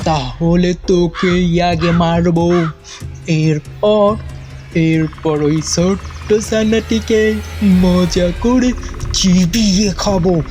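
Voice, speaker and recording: Woman voicing a slow, gloating, menacing cartoon wolf. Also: on the low side, fairly even intonation, mellow. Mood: threatening. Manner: slow, gloating, drawn-out threats. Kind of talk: children's cartoon story villain.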